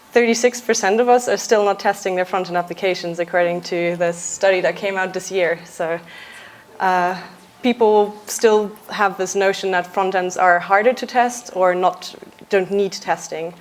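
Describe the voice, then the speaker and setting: A young adult woman from Austria, a software engineer giving a conference talk in a casual, lightly joking way with frequent uhs. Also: mid-range voice, a little hiss or hum, poor audio. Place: conference stage.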